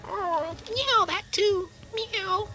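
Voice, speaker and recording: A woman's voice: very high-pitched, extremely slow, bright, forceful tone, exaggerated voice. A woman voicing a sly talking cat in a comedy sketch, coaxing like a salesperson and meowing between phrases. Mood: salesy, coaxing. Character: sly talking cat recruiter, wheedling tempter.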